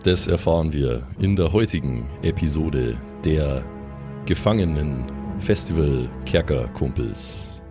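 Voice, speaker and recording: A man's voice: extremely low pitch, animated, unhurried, over music. A man narrating an improvised tabletop role-playing story as the game master.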